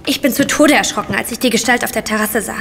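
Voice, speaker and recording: Scared young maid in a radio play, talks fast, big echoey hall, bit of noise. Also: female voice, on the high side, gravelly, over loud music. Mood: shaken. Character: frightened, earnest young housemaid. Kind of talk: German audio drama acting. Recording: long reverberant hall, faint noise.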